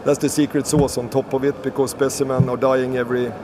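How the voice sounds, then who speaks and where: A man's voice: mid-range voice, little pitch movement. Man from sweden, panelist, earnest, stumbling repeats, noisy video call. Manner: earnest, halting, repeats words. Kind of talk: online panel discussion.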